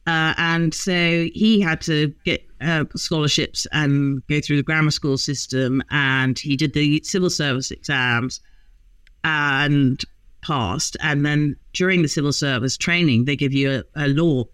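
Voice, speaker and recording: A woman in her fifties, a guest lawyer telling humorous stories in a relaxed interview. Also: deep voice, a little slow, clean tone, no room sound. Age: 50s. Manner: lively, humorous storyteller. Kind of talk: casual interview chat.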